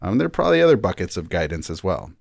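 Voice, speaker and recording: A man giving measured, thoughtful interview answers, carefully qualifying and explaining his points as a rabbi guest. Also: sits low, very animated, gravelly, no echo. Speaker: rabbi and senior living chaplain, podcast guest.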